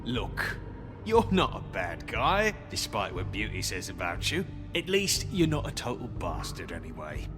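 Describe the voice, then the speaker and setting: An actor playing a cocky, foul-mouthed, sneering street thug in a video game, talking in a mocking, slangy way. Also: male voice, on the low side, huge pitch swings, unhurried, over music. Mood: evasive, smug.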